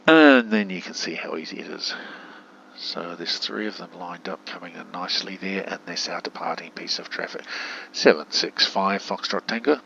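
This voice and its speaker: A man's voice: sits low, normal speed, gravelly, a bit bright. A man giving casual, chatty gameplay commentary on a simulator, thinking aloud, in a dull home recording. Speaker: gamer narrating a simulator playthrough. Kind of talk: casual gameplay commentary with spoken radio commands. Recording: dull, muffled home recording with faint hum.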